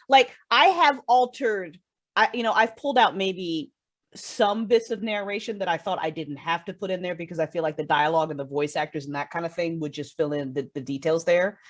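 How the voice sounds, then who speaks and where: A woman being interviewed as a creative guest, talking casually and candidly in a rambling, emphatic way. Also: lowish voice. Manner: rambling, emphatic, freely swearing. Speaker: guest, audio drama writer and creator.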